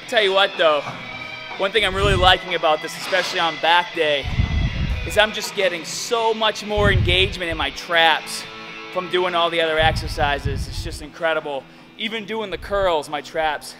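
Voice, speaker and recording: A young man giving workout instructions as a fitness coach, energetic and coaching in tone. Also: a little high. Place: large gym, indoors.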